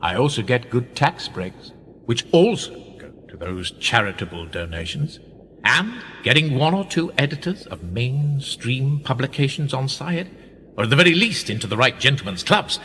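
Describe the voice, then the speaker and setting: A man's voice: mid-range voice, sing-song, moderate pace, bright, forceful tone, a little hiss or hum. An actor playing a wealthy upper-class British businessman in a radio play, urbane, wry and self-mocking, with formal, rhetorical speech. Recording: reverberant room with long echo.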